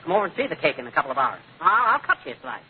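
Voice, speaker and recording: An actor playing a blustery, exasperated husband with an American accent, folksy and quick to protest, in 1940s radio comedy style. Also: male voice, on the high side, big pitch swings, slight background noise. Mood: eager, boastful. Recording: tinny old radio recording.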